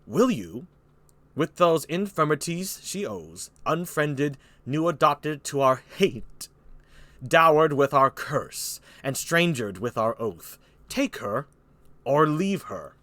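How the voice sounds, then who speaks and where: Old tragic king, played by a man: wrathful, imperious, slow emphatic delivery, very animated intonation. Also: fairly high pitch, no room sound, exaggerated voice. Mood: scornful. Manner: slow, emphatic declamation with pauses.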